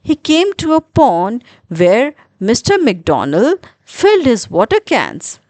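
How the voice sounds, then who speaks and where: A woman narrating a children's story in an expressive, deliberate storytelling manner with dramatic pauses. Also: fairly high pitch, no echo.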